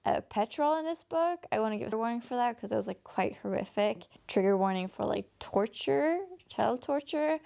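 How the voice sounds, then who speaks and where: A tired woman with a raspy voice, a Danish native speaker from Denmark, chatting through a casual book review with lots of fillers and restarts. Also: on the high side, expressive, normal speed, few pauses, dark tone. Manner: rambling, many fillers and restarts. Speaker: book vlogger.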